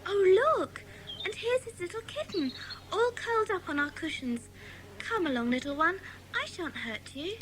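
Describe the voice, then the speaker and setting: High swooping little British girl played by a woman, insistent, tender-hearted, emphatic, children's audiobook drama. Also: expressive, normal speed, background noise. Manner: emphatic, pleading.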